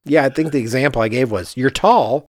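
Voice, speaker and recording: A man's voice: mid-range voice, brisk, darkish tone, a little hiss or hum. A middle-aged man hosting a podcast in casual conversation, speaking candidly and emphatically and repeating phrases to make his point. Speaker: podcast host, architect.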